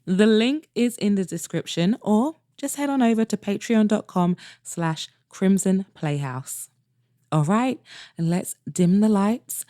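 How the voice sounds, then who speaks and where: A woman's voice: medium pitch, dark tone, no echo, studio quality. A woman hosting a podcast and narrating a scripted romance audiobook in an intimate style.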